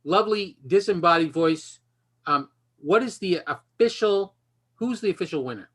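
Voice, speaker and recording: A man's voice: sits high, expressive, moderate pace, fairly bright tone, slightly rough. A man casually hosting an unscripted online quiz over a video call, with a joking, self-deprecating manner. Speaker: game show host.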